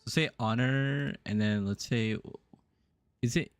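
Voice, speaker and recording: A man's voice: mid-range voice, measured pace, clear, resonant tone, dry recording. A young adult man from the US East Coast, musing aloud and answering chat during a casual game stream. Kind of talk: casual live-stream chat while playing.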